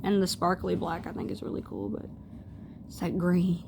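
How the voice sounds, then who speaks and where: A woman's voice: fairly low pitch, little pitch movement, normal speed, vocal fry. A young woman with a scratchy voice, chatting casually and rambling through tangents as she shows off makeup at home. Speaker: beauty vlogger. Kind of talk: casual chat.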